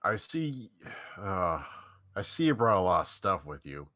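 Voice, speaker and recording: A man's voice: deep voice, sing-song, unhurried, pushed and bright, full character voice. A man reading the part of an awkward teenage boy in a casual staged reading, stammering and repeating himself nervously. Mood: flustered. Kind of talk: casual script read-aloud.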